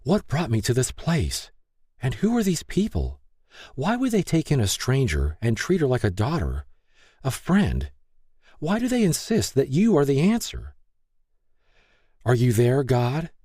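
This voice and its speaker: A man's voice: mid-range voice, huge pitch swings, a little slow, pauses often, mellow, husky, no echo, studio quality, pitched above the performer's own voice, heavily acted. A man voicing a distraught young woman who prays alone, asking anguished, pleading questions in an audiobook. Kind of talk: audiobook character reading.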